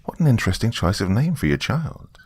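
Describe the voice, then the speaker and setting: A man's voice: lowish voice, very animated, brisk, a little mellow, husky, dry recording, pitched above the performer's own voice. A man voicing a pious small-town mother with a subtly condescending manner, in a narrated story.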